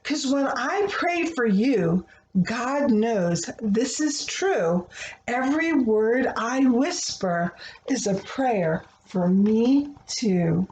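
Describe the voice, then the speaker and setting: Female storybook reader, fairly high and lively pitch, deliberate expressive phrasing for small children, echoey room recording. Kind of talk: read-aloud storytelling of a rhyming picture book. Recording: roomy echo, faint background noise.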